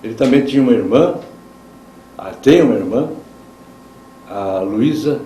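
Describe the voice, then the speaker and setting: An older man with an inland São Paulo Brazilian accent reminisces very slowly in a mellow, dark-toned voice. He pauses and corrects himself as he goes. The room is clearly echoey. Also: medium pitch, lively intonation. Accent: Brazilian, São Paulo state interior. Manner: pausing, correcting himself as he recalls. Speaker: childhood friend giving a testimonial. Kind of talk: slow reminiscing testimonial. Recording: echoey room, faint background noise.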